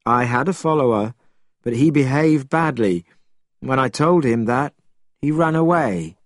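A man voicing a gentle, sorrowful, morally stern young priest in a storybook, in a calm, admonishing manner. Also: mid-range voice, a little slow, no echo, studio quality, natural voice. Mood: subdued. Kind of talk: storybook character voice.

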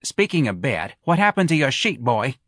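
A man voicing a loud, blunt, working-class middle-aged mother who scolds her grown son in a shrill voice. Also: very animated, normal speed, dry recording, studio quality. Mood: scolding.

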